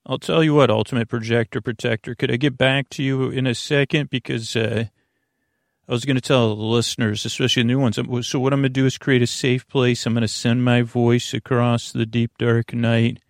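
A man hosting a bedtime podcast in a creaky voice, rambling in a lulling, halting, meandering way. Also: mid-range voice, little pitch movement, dry recording, clean studio sound. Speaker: podcast host.

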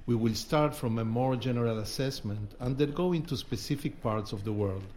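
A man's voice: medium pitch, normal speed, a little mellow, slight background noise. A man co-hosting a podcast, presenting in a semi-scripted style. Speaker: podcast co-host and curator. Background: music with instruments.

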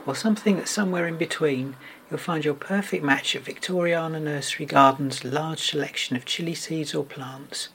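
A man's voice: a little high, slightly rough. A man reading a scripted sponsor message.

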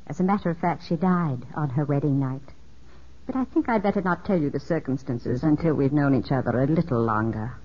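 An actress playing a gracious, gently teasing widow in a 1950s radio drama, speaking in a composed, courteous way. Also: female voice, low voice, animated, brisk. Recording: muffled 1950s radio recording.

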